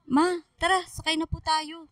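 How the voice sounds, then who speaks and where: A very high-pitched girlish Filipino daughter. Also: flat delivery, moderate pace, a bit bright, no room sound, clean studio sound. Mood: playful. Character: caring, playful young Filipino daughter. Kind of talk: radio drama acting.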